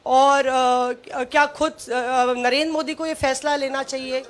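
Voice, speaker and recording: A woman with a high voice asks questions as a reporter at a press conference. She builds them hesitantly, pausing partway through. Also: little pitch movement.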